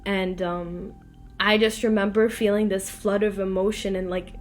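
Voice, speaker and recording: Guest, young woman from the Philippines, English-speaking accent in French, halting testimony, mid pitch. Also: flat delivery, soft background music. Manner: hesitant, halting, full of fillers and restarts. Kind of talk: personal testimony in an interview.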